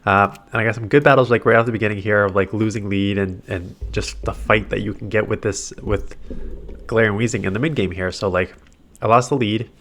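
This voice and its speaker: A man with a stuffy, nasal voice talks through his game as he plays. His pitch sits a little low. He rambles casually and thinks aloud, full of "like" and "uh". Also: fairly even intonation, a little fast, a bit bright. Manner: chatty, filler-heavy, thinking aloud. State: congested. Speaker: gaming commentator. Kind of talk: casual play-by-play commentary over game footage.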